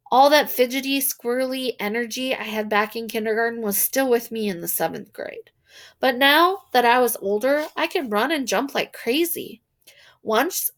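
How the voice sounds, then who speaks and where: Female storybook reader, higher-pitched voice, expressive and animated narration for young listeners. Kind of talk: expressive read-aloud narration of a children's novel.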